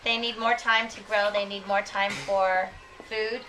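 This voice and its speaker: A woman teaching young children, reading aloud expressively and deliberately and checking their understanding with questions. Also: medium pitch, flat delivery. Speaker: elementary school teacher. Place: school classroom. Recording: noisy classroom, distant microphone.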